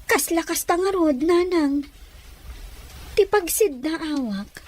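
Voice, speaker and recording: A very high-pitched young daughter in love, acted by a woman. She speaks as a devoted, searching character in a family audio drama. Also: expressive, slow pace, exaggerated voice. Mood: worried, questioning. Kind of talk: Ilocano audio drama dialogue.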